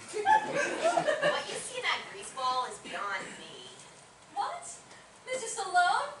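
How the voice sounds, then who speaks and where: A woman's voice: very high-pitched, wildly swooping pitch, slow, fairly bright tone, exaggerated voice. An actress playing a nosy, teasing woman in a comic murder-mystery stage play, theatrical and exclamatory. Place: theatre stage, recorded from audience distance. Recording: echoey theatre, distant mic, faint noise.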